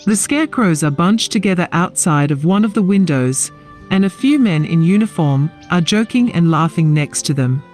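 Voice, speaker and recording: A man's voice: a little high, lively intonation, normal speed, a little mellow, soft background music. A man narrating a fantasy audio drama, reading scripted scene descriptions as a storyteller.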